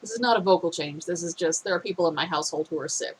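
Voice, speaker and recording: A woman's voice: on the low side, racing, a little hiss or hum, low-quality recording. Phlegmy woman reading a story aloud. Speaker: fan fiction reader.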